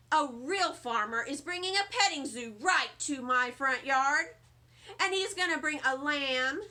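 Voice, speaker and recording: Woman doing a loud, taunting, mean little boy. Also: sits high, exaggerated voice. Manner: taunting, hollering. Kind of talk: storybook read-aloud for children.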